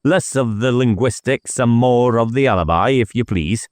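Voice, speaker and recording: A man's voice: mid-range voice, normal speed, dry recording, studio quality, natural voice. A man voicing a blunt, impatient police inspector in an audiobook, questioning in a curt, sarcastic way. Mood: brisk interrogation.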